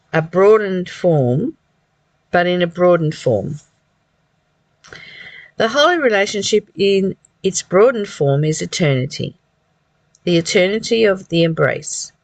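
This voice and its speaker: Someone reading spiritual stuff really slowly, reflective, kinda halting, adds own casual thoughts, very dry sound. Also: mid-range voice, dry recording. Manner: reflective, halting, repeating phrases. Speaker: reader and commentator of a spiritual study. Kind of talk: slow reading aloud with informal spiritual commentary.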